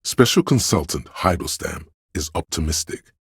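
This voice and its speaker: Very deep, gravelly man narrating. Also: expressive, moderate pace, dry recording, studio quality. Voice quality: gravelly, husky. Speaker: video game narrator. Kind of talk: dramatic literary scripted narration. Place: close, dry studio.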